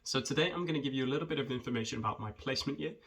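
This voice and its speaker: A man, talking in a friendly, conversational way with hesitant pauses as he introduces himself and his work for students. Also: medium pitch, fairly bright tone, breathy edge. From rural southwest Wales. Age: 20s. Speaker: junior footwear developer. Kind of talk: informal self-introduction video for students.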